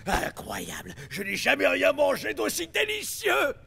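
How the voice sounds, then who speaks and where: An actor voicing a hungry, blustering ogre with a gravelly voice in a French children's cartoon. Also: male voice, very high-pitched, sing-song, unhurried, sharp tone, soft background music, heavily acted. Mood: delighted. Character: hungry, blustering male ogre, greedy and gullible.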